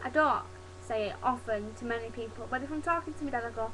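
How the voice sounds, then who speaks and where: A woman's voice: on the high side, fast, a bit bright, slight background noise, poor audio. Chatty young Yorkshire woman on a webcam. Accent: Yorkshire, softened toward standard British English. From Yorkshire, England. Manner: chatty, demonstrates dialect words, laughs at herself. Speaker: vlogger. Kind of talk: casual webcam chat. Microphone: webcam mic.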